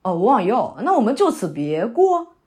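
A woman's voice: on the high side, big pitch swings, moderate pace, darkish tone. A woman acting a young, sentimental, theatre-loving new graduate in a naturalistic audio drama, speaking in a hesitant, conversational way. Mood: warm, reflective. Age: young adult. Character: young woman fresh out of university, wandering, sentimental theatre lover.

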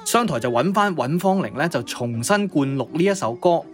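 A man's voice: fairly high pitch, lively intonation, moderate pace. A man with a Cantonese accent telling music history as a radio co-host, in a conversational, piecemeal way.

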